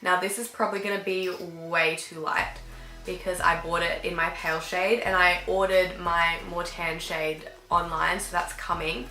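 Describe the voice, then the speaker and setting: Young woman beauty vlogger with a cold, bright, slightly nasal voice, chatty, roomy bedroom sound, faint music behind. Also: on the low side, little pitch movement, moderate pace. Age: young adult. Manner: chatty, filler-heavy, talks while applying makeup. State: sick, a bit croaky and nasal. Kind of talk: casual makeup tutorial chat. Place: dim bedroom with fairy lights, indoors.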